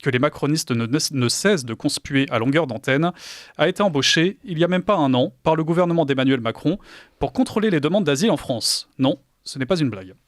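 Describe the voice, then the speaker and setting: A man's voice: fairly high pitch, animated, brisk, no echo. A man delivers a scripted radio column in an emphatic, pointed tone. The studio sound is dry and close, with no room around the voice. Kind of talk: scripted political commentary.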